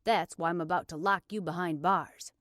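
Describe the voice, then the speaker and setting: A stern, unmoved male cop as a female audiobook reader plays him speaks in firm, curt phrases. He sounds gruff and dismissive, in a dry, close recording. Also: on the low side, steady tone, normal speed, fairly bright tone, no room sound, natural voice.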